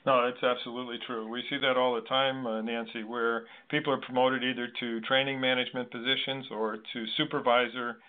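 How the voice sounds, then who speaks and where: A man hosting a conversational interview, speaking in a measured, agreeable way. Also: medium pitch, flat delivery. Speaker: discussion host. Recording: narrow tinny phone-like line.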